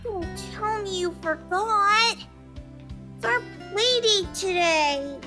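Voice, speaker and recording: A woman voicing a bright, impatient, cheeky little girl in a visual novel, with a teasing sing-song delivery. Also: very high voice, takes it slowly, voice pushed up. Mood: teasing, chatty. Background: music.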